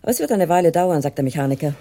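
An actress playing a cool, suspicious wife in a radio drama, curt and quietly accusing. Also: female voice, sits low, lively intonation, very fast. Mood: cold accusing. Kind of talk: marital radio drama acting.

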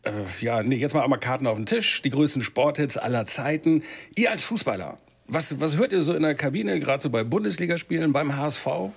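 A man's voice: a little high, lively intonation, a little fast, vocal fry, breathy edge, heavily acted. A man playing a chatty TV show host in a comedy mock-documentary, conversational and probing, with many fillers. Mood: prodding, flustered. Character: chatty male TV show host and football fan.

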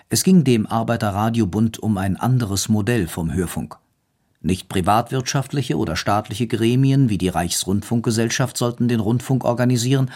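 A man's voice: mid-range voice, a little mellow. A man reading scripted narration for a radio documentary.

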